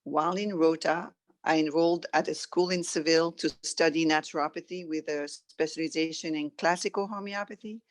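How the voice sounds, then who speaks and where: A woman's voice: low voice, fairly even intonation, fairly quick. A middle-aged woman from France with a French accent, reading a written personal story aloud as a guest.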